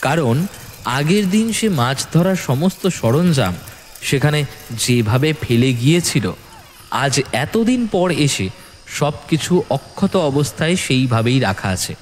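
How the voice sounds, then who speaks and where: A man's voice: fairly high pitch, lively intonation, takes it slowly, slight background noise. A man narrating a scripted horror story in a dramatic storytelling style. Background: music.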